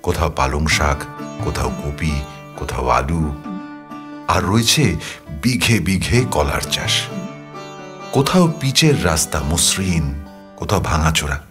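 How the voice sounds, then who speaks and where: A man narrating an audio story as a wistful young man writing a confessional love letter, speaking in a reflective, intimate way over music. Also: sits low. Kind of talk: first-person dramatized storytelling, read as a letter.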